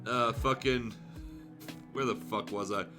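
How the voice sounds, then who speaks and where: A man's voice: mid-range voice, fairly even intonation, measured pace, sharp tone. A man streaming a fan fiction reading, narrating the story with casual, irreverent, sweary asides. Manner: crude, joking, sweary. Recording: music behind the voice.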